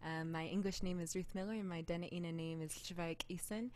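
A woman's voice: lowish voice, fairly even intonation, fairly quick, darkish tone. A woman in her twenties from Alaska, a singer casually introducing a live set into a close mic in a living room. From Anchorage, Alaska. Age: 20s. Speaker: guest singer. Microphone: studio mic on a boom arm, close.

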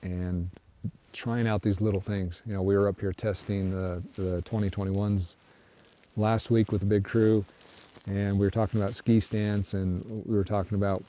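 A middle-aged man sitting on a snowmobile in the snow, giving a casual review to the camera in a relaxed, halting way with many restarts. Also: on the low side. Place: outdoors on snowy flat by trees. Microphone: camera framed from a distance, seated on a snowmobile.

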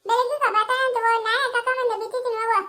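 A woman putting on a squeaky, whining village elder who wails in drawn-out cries. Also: flat delivery, bright, forceful tone.

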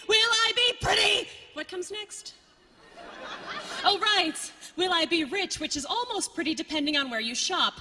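High-voiced woman performing poetry in a hall. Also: pushed and bright. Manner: emphatic, dramatic. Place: stage in a hall. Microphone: microphone on stand. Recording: long hall reverberation.

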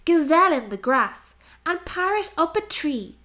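A woman's voice: sits high, big pitch swings, unhurried, bright, forceful tone. A woman reading a rhyming children's story aloud as narrator, expressively and with dramatic emphasis.

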